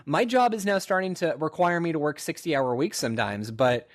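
A man's voice: a little high, fairly quick. A young man co-hosting a casual podcast, rambling reflectively with frequent restarts and fillers. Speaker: podcast co-host. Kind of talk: casual chat.

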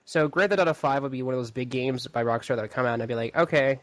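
A young man co-hosting a casual podcast chat, relaxed and a bit hesitant. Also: mid-range voice, rapid-fire, no echo. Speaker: podcast co-host.

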